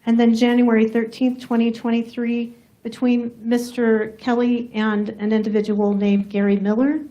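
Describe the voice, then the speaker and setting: A soft-spoken woman prosecutor questioning a witness in a courtroom, measured and methodical. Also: on the high side, level tone, low-quality recording. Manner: measured, formal, methodical questions with some fillers.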